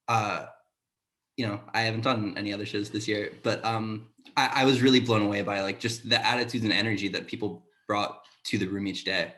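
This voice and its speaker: A man with a fairly low voice shares casual reflections on a panel. The delivery is earnest and halting, often pausing to find the next word. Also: fairly bright tone. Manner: halting, earnest, searching for words. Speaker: sound designer and audio engineer. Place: remote video call.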